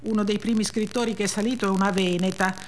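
Actress as blunt, resentful woman, deadpan and childlike. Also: mid-range voice, fast talker, lightly acted. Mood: wry, matter-of-fact. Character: blunt, resentful narrator of a childhood and bohemian life. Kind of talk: dramatic reading of a first-person memoir.

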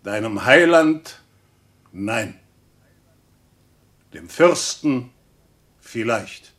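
An actor in a German radio play, playing a stern religious reformer who admonishes in weighty, preacherly speech. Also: male voice, mid-range voice, lively intonation, drawn-out words, a bit bright. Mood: grave. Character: stern, reproving Protestant reformer and theologian.

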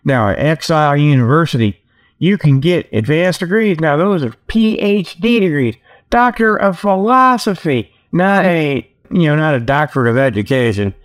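A man with a somewhat high voice hosts his own comedy show alone. He rambles in a casual, folksy style, slipping into mock sales pitches and punctuating his points with "okay" as he jokes along. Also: animated, normal speed. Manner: repeats "okay" as a verbal tag. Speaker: solo host of a comedy internet radio show.

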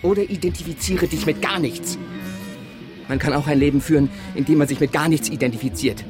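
A man's voice: a little high, brisk, music in the background, natural voice. An actor playing an exasperated, sentimental man in a radio drama, speaking in an emphatic, insistent way. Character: exasperated, sentimental partner clinging to household belongings. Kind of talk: radio drama dialogue acting.

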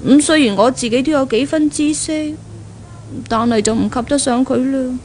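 An actress plays an impulsive young woman eaten by jealousy, in a high voice with a Hong Kong Cantonese accent. She thinks aloud indignantly, as in a 1970s radio drama. The recording is old and clearly noisy. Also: normal speed, a little mellow, natural voice. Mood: jealous, bitter.

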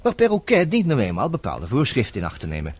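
A man's voice: medium pitch, huge pitch swings, fast talker, darkish tone, slightly rough, dry recording. An actor playing a worldly, self-assured man who advises his troubled friend in a chatty, teasing radio drama style. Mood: persuasive, teasing.

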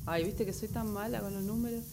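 A woman's voice: mid-range voice, fairly quick, natural voice. A woman playing a chatty, scatterbrained, placating traveler in a radio drama, with an Argentine Rioplatense accent. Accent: Rioplatense Argentine Spanish. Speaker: amateur radio drama performer. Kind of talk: naturalistic radio drama dialogue. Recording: hissy, noisy workshop recording.

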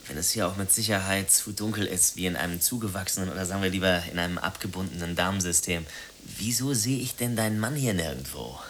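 An actor in a German radio drama playing a self-absorbed, sardonic former sailor with a flippant, wordy, theatrical way of talking. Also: male voice, low voice, fast, a bit bright, slight background noise.

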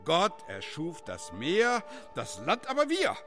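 Theatrical older schoolmaster, very animated. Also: male voice, high voice, slow pace, loud music behind the voice, heavily acted. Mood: rousing. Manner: theatrical, lecturing, emphatic. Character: ageing village schoolmaster, lecturing, calming, weary. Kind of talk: German radio play acting.

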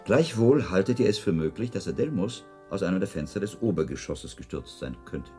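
A calm, probing learned monk, with a very low, dark voice. He questions formally and deliberately in radio drama style, with animated inflection. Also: soft background music. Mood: probing, insistent. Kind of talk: 1980s German radio drama acting.

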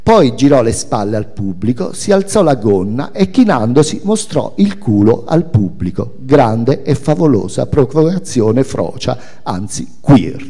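A man reading a memoir aloud as its author, in an expressive, theatrical storytelling manner. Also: medium pitch, unhurried, hardly any pauses, husky. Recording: echoey hall with music. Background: music.

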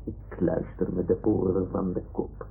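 A man's voice: low voice, completely flat delivery, a little slow, vocal fry, slight background noise, full character voice. A man voicing a self-important toy lion who poses as an old seafarer in a radio play, speaking in boastful declarations. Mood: boastful, dreamy. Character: boastful toy lion posing as sea lion and retired sea captain. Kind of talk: 1960s-70s Dutch radio drama acting. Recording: muffled, phone-like old radio recording.

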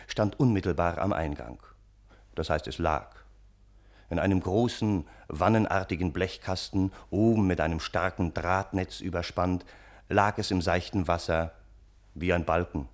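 An actor playing a wry family friend who narrates the story in a radio play. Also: male voice, deep voice, unhurried. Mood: wry, descriptive.

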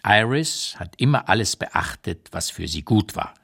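An old émigré writer reflecting bluntly on his life in a radio play. The voice sits fairly low and moves through very lively rises and falls. Each phrase is deliberate and emphatic, measured like literary reading. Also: creaky, slightly rough, full character voice. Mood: critical, blunt.

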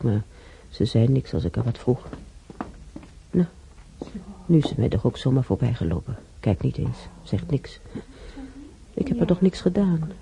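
Creaky old woman reminiscing. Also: rumbling low. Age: older. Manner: rambling, musing aloud, repeating herself. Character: chatty, wistful old woman reminiscing from her sickbed. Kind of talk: radio drama monologue and dialogue. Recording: dark, slightly noisy radio drama recording.

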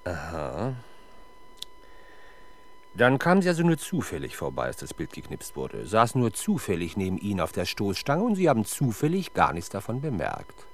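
A man's voice: lowish voice, huge pitch swings, moderate pace, exaggerated voice. An actor playing a sardonic, exasperated male police detective in a 1970s German radio crime drama, with dry, sarcastic delivery. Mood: pressing, insistent. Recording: 1970s radio production with faint background noise.

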